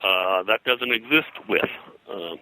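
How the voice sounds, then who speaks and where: An older man reminiscing as an interview guest, rambling and halting, often repeating words as he goes. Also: on the low side, bright, forceful tone, dry recording, poor audio. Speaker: interview guest, pop culture historian and pulp publisher.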